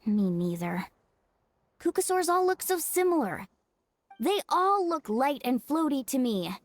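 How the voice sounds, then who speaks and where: High, animated young adventurer. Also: female voice, a little slow, no echo. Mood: friendly. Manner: friendly, expressive. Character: spirited, supportive young woman, helpful adventurer friend. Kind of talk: anime game voice acting.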